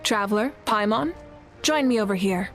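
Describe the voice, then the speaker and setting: A woman playing a commanding warrior leader in a video game, solemn and rallying, speaking with measured, dignified oratory. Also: medium pitch, animated, a bit bright, faint music behind. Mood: solemn, proud.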